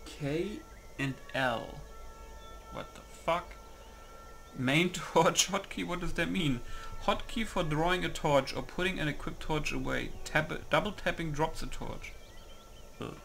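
Slow, creaky-voiced man muttering through game settings. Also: on the high side, fairly bright tone, faint music behind. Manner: muttering to himself, casual swearing. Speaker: gamer recording a mod setup. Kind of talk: casual game commentary, reading settings aloud.

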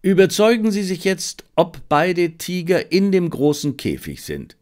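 A man's voice: high voice, huge pitch swings, a little slow, pitched above the performer's own voice, exaggerated voice. A man voicing a commanding, cool-headed detective in an audiobook, terse and urgent. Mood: cool, brisk. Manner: terse, urgent, hushed at times.

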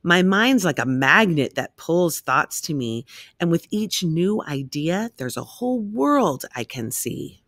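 A woman reading a rhyming children's picture book aloud in an expressive, measured storytelling manner. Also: fairly low pitch, no room sound, studio quality.

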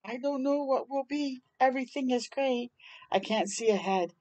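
An older woman hosting a children's storytime, reading a rhyming picture book aloud in a dramatic, deliberate way with brief asides. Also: high-pitched, dark tone, slight background noise. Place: outdoors on a porch.

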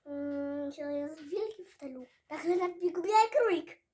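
A child telling a story aloud in a halting way, repeating words and stumbling. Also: high voice, warm tone.

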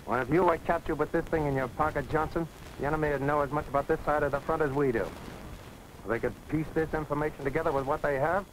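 An American actor playing a serious army sergeant in a 1940s film. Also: male voice, a little high, fairly even intonation, quick pace, natural voice. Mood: admonishing. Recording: noisy 1940s film soundtrack.

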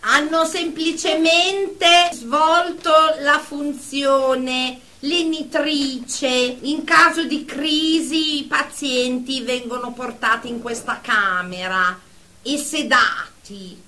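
A woman acting as an officious female psychotherapist. She speaks slowly in a high, piercingly bright voice, formal and clinical, as in an audio comedy. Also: full character voice. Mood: clinical, explaining.

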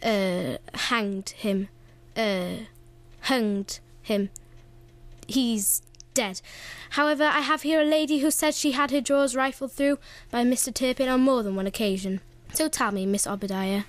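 A blunt, chirpy news reporter played by a woman in a radio comedy. The voice is high and presenterly, recorded dry. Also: fairly even intonation, a little slow, dry recording, natural voice.

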